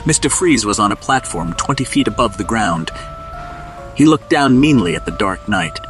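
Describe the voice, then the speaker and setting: A man's voice: fairly low pitch, lively intonation, normal speed, over music. A man narrating a scripted superhero story in a suspenseful, dramatic storybook style.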